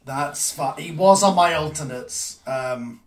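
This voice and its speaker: A man's voice: on the high side, takes it slowly, distant mic, a crowd in the background. Chatty man hosting a group call. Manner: keeps things moving with frequent okays, chatty and loose. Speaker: host running a fantasy draft. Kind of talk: casual group chat.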